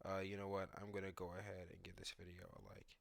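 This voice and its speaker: A man's voice: low-pitched, completely flat delivery, fast talker, vocal fry. A man giving casual reaction commentary on video game footage, talking informally and thinking aloud as a gaming YouTuber.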